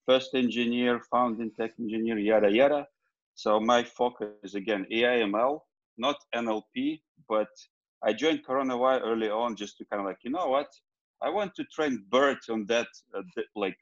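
A man's voice: medium pitch, dry recording. Man from Ukraine, rambling and casual, on a dry, lo-fi video call. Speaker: meeting participant. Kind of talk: casual self-introduction on a call.

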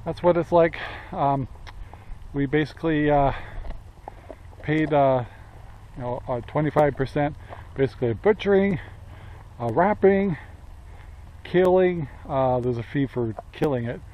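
A man's voice: fairly high pitch, unhurried, long pauses, highs cut off. Chatty older man vlogging outdoors. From Central Oregon, USA. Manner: chatty, rambling, with many fillers and chuckles. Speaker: homestead vlogger. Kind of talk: casual vlog talk to camera. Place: outdoors on a rural property and in a garage. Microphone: handheld camera at arm's length.

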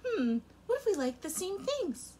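A young woman teacher reading a picture book aloud to kindergarten children, very expressive, her loudness rising and falling sharply. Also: very high-pitched, measured pace, a little hiss or hum. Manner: expressive and animated, with big swings in loudness. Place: home room in front of a rainbow feather backdrop.

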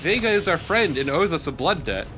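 A man's voice: on the high side, sing-song, a little fast, bright, forceful tone, a little hiss or hum. A man talking casually as a co-commentator on a video game. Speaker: let's play co-commentator.

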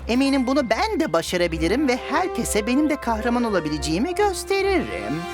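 A woman's voice: a little high, wildly swooping pitch, quick pace. A woman voicing a naive, scatty, eager young crow in a preschool cartoon dub. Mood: panicked. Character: clumsy, eager young crow longing to be a hero. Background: music.

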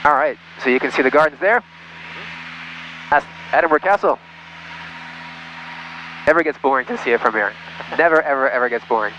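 A man's voice: medium pitch, brisk, pauses often, pushed and bright. A man in his thirties, a pilot giving casual step-by-step commentary over an aviation headset in a small plane. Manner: explanatory, step by step. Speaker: private pilot. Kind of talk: casual cockpit commentary and radio calls. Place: small light aircraft cockpit in flight. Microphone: aviation headset boom. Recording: muffled aircraft headset audio, engine noise.

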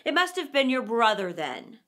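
A woman's voice: on the high side, very animated, normal speed, a bit bright. A woman voicing a fierce, hungry male wolf with a growling, bullying manner in a children's storybook. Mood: menacing, accusing.

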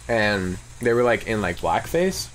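A man's voice: fairly low pitch, very animated, measured pace, a bit bright, slight background noise, rough recording. A man chatting casually with a friend as he plays a video game, slangy and sweary, with frequent "like". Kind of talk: casual let's-play chat with a friend. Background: game music.

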